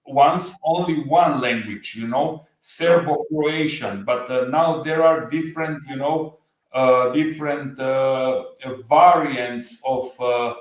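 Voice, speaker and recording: A man in his forties from Slovenia, a native Slovenian speaker, chatting as a livestream guest and pausing often to find his English words. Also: mid-range voice. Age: 40s. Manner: halting, searching for English words. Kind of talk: casual livestream chat. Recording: roomy echo.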